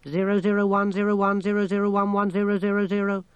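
A man's voice: high voice, flat delivery, fast, smooth, dry recording, highs cut off, pitched above the performer's own voice, lightly acted. A man voicing an unflappable, matter-of-fact alien machine that speaks precisely and literally, in a storybook reading.

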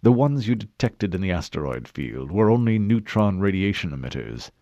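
Guy doing a deep sly governor, slow and mocking, dry close sound. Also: very animated, mellow, no room sound, highs cut off. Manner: low, mocking, smooth. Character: smug, suave male colonial governor.

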